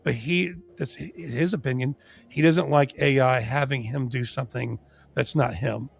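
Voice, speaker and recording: A man's voice: medium pitch, fairly even intonation, moderate pace, vocal fry, breathy edge. A man who is losing his voice, chatting casually as a livestream co-host in a sweary, informal way, stumbling over his words.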